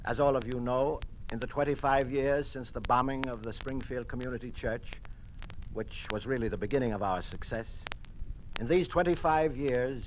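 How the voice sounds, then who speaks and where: A man's voice: mid-range voice, normal speed, close to an everyday voice. An actor with an American accent playing an earnest, dutiful male communist conspirator in an old-time radio drama. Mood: formal, official. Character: uneasy male communist conspirator, later a dutiful party official. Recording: noisy vintage radio recording.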